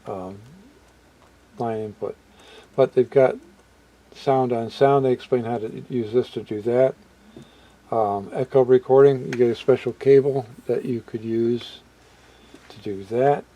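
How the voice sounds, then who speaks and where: Mellow-voiced man, halting and musing aloud. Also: mid-range voice, poor audio. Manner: halting, musing aloud, trailing off mid-thought. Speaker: hobbyist video presenter. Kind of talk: casual unscripted product walkthrough.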